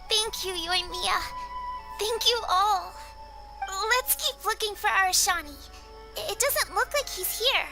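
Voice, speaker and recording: An actress voicing a gentle, earnest young girl in an anime game. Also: very high voice, slow pace, music in the background, exaggerated voice. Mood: earnest, wondering. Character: gentle young girl rediscovering her lost dreams.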